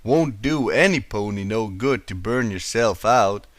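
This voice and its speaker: A man voicing a big, calm male farm pony in a storybook reading, giving concerned advice in a low-key, folksy manner. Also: unhurried, dry recording.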